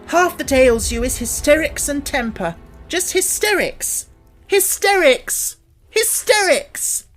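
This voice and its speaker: A woman voicing a furious, bossy little girl in an audiobook, shouting defiantly. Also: high voice, sing-song, slow, soft background music, voice pushed up, full character voice. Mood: fierce, scolding.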